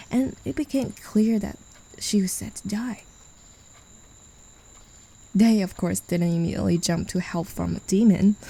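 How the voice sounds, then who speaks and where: A woman playing a gravelly, taunting demon. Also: poor audio. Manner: condescending and teasing, soft whispers and low chuckles. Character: mocking, possessive demon who claims a human as a pet. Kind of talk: ASMR roleplay.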